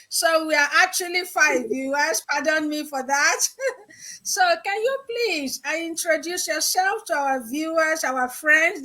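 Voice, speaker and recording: A woman's voice: high voice, bright, forceful tone. A middle-aged woman from Nigeria hosting an online interview show, speaking in an encouraging, motivational way. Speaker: show host.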